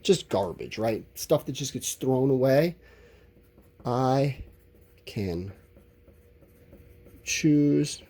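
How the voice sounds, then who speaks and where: Slow-talking, mellow male teacher. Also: mid-range voice, lively intonation. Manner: simple, encouraging explanations addressed to children, often word by word. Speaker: elementary school teacher. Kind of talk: informal lesson, thinking aloud while writing answers.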